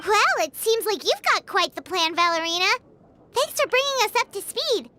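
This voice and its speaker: A woman voicing a tiny, excitable childlike fairy companion in a game, with a squeaky voice and chirpy, expressive manner. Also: moderate pace, exaggerated voice. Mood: curious. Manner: chirpy, expressive, speaks of herself in third person. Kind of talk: English game dub voice acting. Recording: loud background music.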